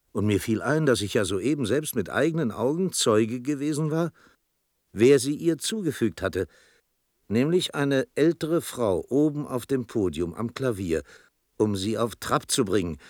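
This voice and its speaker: Deep-voiced, dry-sounding reflective storyteller. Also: male voice, darkish tone, no room sound. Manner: measured, reflective, dramatic. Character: reflective male storyteller recalling his own tale. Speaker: narrator. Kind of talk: literary radio drama narration.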